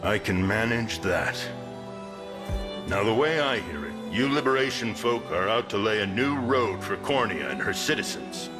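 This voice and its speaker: A man playing a gruff, wry town lord in a fantasy game, with folksy, sardonic, archaic phrasing. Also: lowish voice, expressive, moderate pace, over music, lightly acted. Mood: wry, earnest. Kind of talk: video game fantasy acting.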